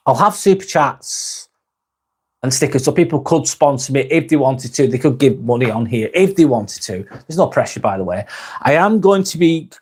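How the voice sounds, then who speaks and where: A man hosting a live stream, reading out viewer comments and chatting in a relaxed, rambling way with plenty of restarts and the odd swear word. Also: medium pitch.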